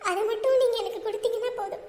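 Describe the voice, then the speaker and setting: A woman voicing a gentle bride-to-be who speaks meekly and politely. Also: very high-pitched, fairly even intonation, rapid-fire, roomy sound, long reverb tail, crowd noise. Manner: meek, polite. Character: gentle soft-spoken bride-to-be, a widow remarrying.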